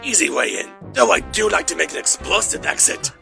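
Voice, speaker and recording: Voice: medium pitch, animated, fairly quick, vocal fry, pushed and bright, faint music behind, heavily acted. An actor voicing a boastful, pompous male Triceratops thief in a cartoon fandub. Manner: pompous, grandstanding. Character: Houdino, boastful thieving male Triceratops villain.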